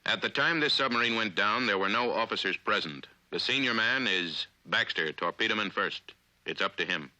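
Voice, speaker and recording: An American man narrating a 1950s instructional film in an authoritative, clipped, deliberate manner. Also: fairly low pitch, expressive, pushed and bright, dry recording, low-quality recording.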